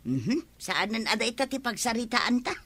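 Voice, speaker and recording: A woman's voice: a little high, big pitch swings, normal speed, a bit bright, slightly rough, dry recording, full character voice. A woman playing a gullible, defensive village neighbour in an Ilocano radio comedy drama, with an Ilocano accent. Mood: insistent.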